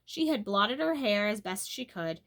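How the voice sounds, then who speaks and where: A woman reading a children's book aloud in an expressive, animated and emphatic way. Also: mid-range voice, normal speed. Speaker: storybook reader.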